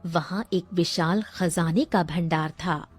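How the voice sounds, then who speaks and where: Slow, lowish-voiced woman storyteller. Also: breathy edge, no room sound, soft background music. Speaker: narrator. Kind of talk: scripted storytelling narration for a Hindi cartoon.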